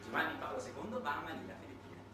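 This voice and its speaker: A male theater monologist tells a satirical story with dramatic, declamatory delivery. His intonation moves a great deal. The recording is distant and heavily echoing, with clear music behind him. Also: a little high, sing-song, rapid-fire. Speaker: solo stage actor and narrator.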